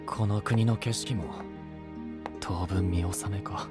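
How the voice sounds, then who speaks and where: A man playing an earnest, self-reproaching young apprentice knight in an anime-style audio drama, with a breathy, husky voice and intense delivery. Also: low voice, steady tone, takes it slowly, over loud music. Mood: wistful. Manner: intense, brooding, emotionally swinging delivery.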